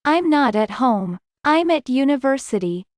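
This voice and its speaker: A woman reading scripted English lesson dialogue, slowly and clearly, in carefully spaced sentences. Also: high voice, big pitch swings, no room sound, studio quality.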